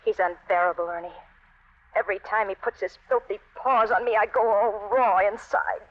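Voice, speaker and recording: A restless American femme fatale voiced by a woman with a mid-pitched voice, pushing and goading in melodramatic radio style. The old recording is muffled. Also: normal speed, close to an everyday voice. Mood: bitter, desperate. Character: restless, manipulative femme fatale, an unhappy wife. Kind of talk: old-time radio suspense acting. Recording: dull, noisy vintage radio recording.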